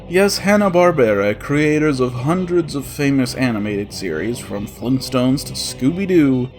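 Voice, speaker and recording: A man narrating a scripted comedic cartoon review as the host, with a sarcastic, profane delivery. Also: medium pitch.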